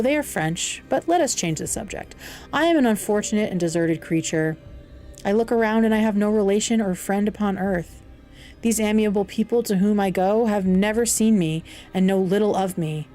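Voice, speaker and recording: A woman's voice: medium pitch, moderate pace, dark tone, soft background music, lightly acted. Woman voicing a lonely, eloquent outcast monster. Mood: anxious, forlorn. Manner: earnest, formal, sorrowful. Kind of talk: audiobook character dialogue.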